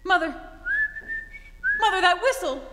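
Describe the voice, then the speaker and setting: A woman playing a haughty, sharp-tongued young society bride in a staged vintage radio comedy. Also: very high voice, animated, a little slow, very noisy. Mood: indignant. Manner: imperious, indignant, withering. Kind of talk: staged 1940s-style radio comedy acting. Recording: echoey theatre hall recording.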